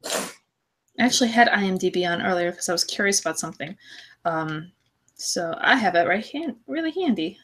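A woman's voice: mid-range voice, expressive, normal speed, a bit bright. A woman co-hosting a podcast, chatting casually about films in a gushing, slangy, effusive way. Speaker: podcast co-host.

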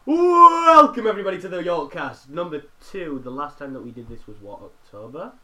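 A man's voice: fairly high pitch, big pitch swings, a little fast, pushed and bright. A young man hosting a casual podcast with friends in a bedroom, bossy and teasing, swearing freely and talking over the others. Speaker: podcast host. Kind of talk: casual banter among friends. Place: a bedroom at home. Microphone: laptop with a desk mic.

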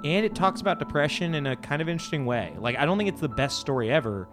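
A man's voice: fairly high pitch, expressive, fast talker, music in the background. A man talking casually and enthusiastically about video games as a panelist on a podcast.

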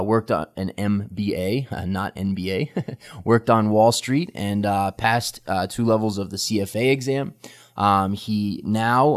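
A man hosts a casual podcast interview, his voice sitting fairly low. He stops and restarts often, filling gaps with ums and uhs as he introduces his guest. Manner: halting, many fillers and restarts. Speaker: podcast host.

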